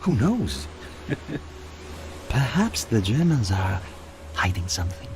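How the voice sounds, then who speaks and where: An actor playing an insinuating, mock-friendly Italian Mafia go-between in a video game. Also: male voice, on the low side, very animated, slow pace, slight background noise, full character voice. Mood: sly, genial. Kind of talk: video game cutscene acting.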